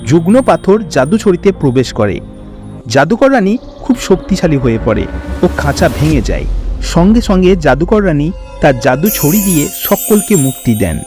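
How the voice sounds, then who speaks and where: A man tells a fairy tale as a cartoon narrator. His scripted delivery is lively, with wide pitch movement in a fairly high voice. Music plays in the background. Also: moderate pace.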